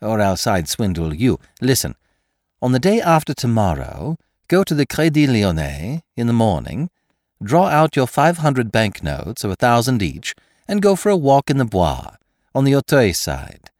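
A man voicing a triumphant, mocking gentleman thief in an audiobook, theatrical and commanding, firing off questions and taunts. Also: mid-range voice, huge pitch swings, moderate pace, no echo, studio quality, voice pushed up. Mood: brisk, scheming. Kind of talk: dramatised audiobook character voice.

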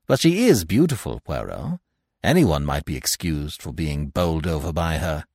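Man reading as earnest, excitable romantic sidekick. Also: rumbling low, normal speed, gravelly, no echo, studio quality, exaggerated voice. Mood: smitten. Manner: earnest, indignant, self-conscious. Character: Poirot's male friend, earnest, susceptible, easily shocked romantic. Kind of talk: audiobook character voice.

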